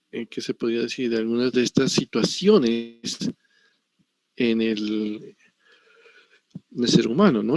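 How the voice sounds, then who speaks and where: Profesor colombiano de mediana edad, voz áspera, grabación seca, clase en línea. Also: male voice, medium pitch, darkish tone, dry recording. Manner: digressive, frequent tag questions. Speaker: university lecturer. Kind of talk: online pathology lecture.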